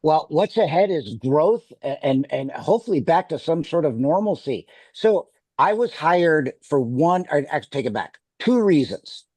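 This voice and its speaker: A man's voice: a little high, big pitch swings, normal speed, few pauses, darkish tone, no room sound. Middle-aged man with a Wisconsin accent, sales exec on a dry video call, rambling delivery. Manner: rambling, many restarts. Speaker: sales executive.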